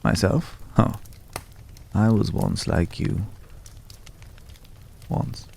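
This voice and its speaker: Very gravelly, deep, slow-spoken courteous ex-hunter, acted by a man. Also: slight background noise, exaggerated voice. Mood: intrigued, wistful. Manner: measured, hushed, hesitant pauses, suavely polite. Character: courteous, world-weary former vampire hunter in a dark forest. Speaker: ASMR roleplay voice performer. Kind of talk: slow, intimate ASMR roleplay acting.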